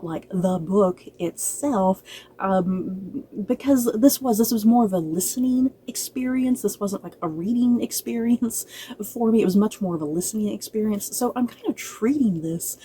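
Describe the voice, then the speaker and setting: Mellow-voiced woman reviewer chatting casually. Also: medium pitch, a little hiss or hum. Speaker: book and film reviewer. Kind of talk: casual review chat to viewers.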